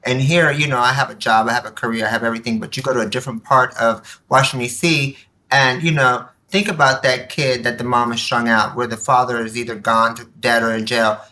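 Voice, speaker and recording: Bright, emphatic woman with a low-set voice. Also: fairly even intonation, fairly quick, poor audio. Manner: emphatic, repeats words for emphasis. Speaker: podcast guest. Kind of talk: impassioned casual conversation.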